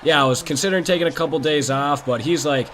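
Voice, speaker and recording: A hoarse man from Minnesota, hosting a gaming channel and chatting casually about basketball over gameplay, opinionated and talking straight to his viewers. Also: on the high side, fast, fairly bright tone, slight background noise. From Minnesota, USA.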